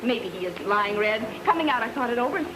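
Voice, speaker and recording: Woman playing a tough, animated noir gun moll. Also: a little high, fast talker, background noise, lightly acted. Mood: pleading, urgent. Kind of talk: old time radio noir acting. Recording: old radio recording, music underneath.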